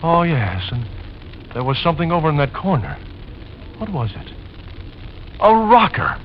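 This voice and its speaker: A man playing a gentle, wistful, polite widower obsessed with recreating his old home, in 1940s radio drama style. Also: on the high side, huge pitch swings, unhurried. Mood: musing. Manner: polite, earnest, nostalgic. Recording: dull, noisy old radio recording.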